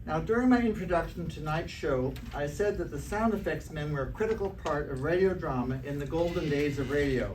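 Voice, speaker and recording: A man's voice: on the high side, brisk, few pauses, a little mellow. A man presenting a theater troupe's show on stage in a scripted introduction. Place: live stage performance space. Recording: distant mic with background noise.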